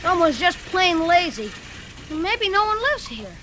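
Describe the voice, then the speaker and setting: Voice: very high voice, very animated, measured pace, bright, forceful tone, slight background noise, exaggerated voice. A performer playing an earnest, worried young boy in an old radio drama, with a plain, boyish manner. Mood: wary. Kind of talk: 1940s radio acting.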